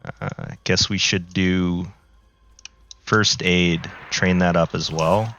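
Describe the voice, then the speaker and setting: A man casually talking through a game as a video creator, relaxed and rambling with many fillers, his voice a bit worn from a recent flu. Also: low voice, takes it slowly. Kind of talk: casual gameplay commentary. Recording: slightly dull, lo-fi audio.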